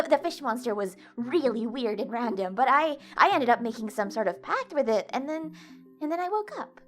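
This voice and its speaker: Woman voicing anxious young female character, fairly high pitch, halting speech, flustered, audio drama, background music. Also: a bit bright. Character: anxious young woman, tired, earnest gamer.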